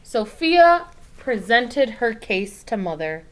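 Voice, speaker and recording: A woman reading a picture book aloud to children, expressively, pausing to explain things in simple asides. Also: on the high side, sing-song, poor audio. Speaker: read-aloud storyteller.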